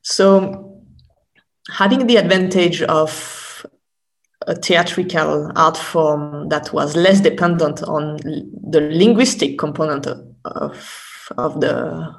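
A woman's voice: low-pitched, slow pace, gravelly. A woman speaking as an academic guest in an interview, explaining thoughtfully and often stopping to rephrase. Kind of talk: unscripted explanatory interview talk.

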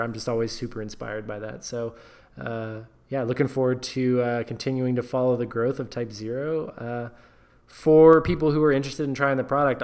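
An upbeat man hosting a podcast, talking at an ordinary middle pitch. His delivery is casual and encouraging, with lots of "you know" and "uh" as he chats with his guest. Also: a little mellow. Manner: upbeat, encouraging, many fillers. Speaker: podcast host. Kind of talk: casual interview chat.

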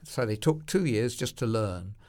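A man of average pitch talks as an industry expert. He explains in an assured way and often leans on short anecdotes to make his points. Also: expressive, normal speed, a little mellow. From United Kingdom. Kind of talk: business conversation.

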